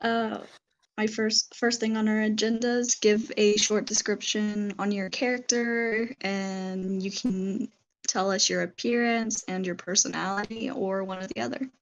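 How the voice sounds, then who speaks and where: Soft, slightly hoarse woman interviewer. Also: medium pitch, fairly even intonation, unhurried, lo-fi sound. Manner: hesitant, many fillers. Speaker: interview host. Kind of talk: casual online interview.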